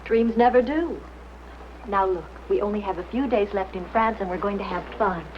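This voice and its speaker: An actress playing a woman friend who soothes and gently teases her companion. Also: mid-range voice, moderate pace, slight background noise, close to an everyday voice. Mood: teasing. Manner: soothing, teasing. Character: teasing, motherly woman friend. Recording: dull old film sound.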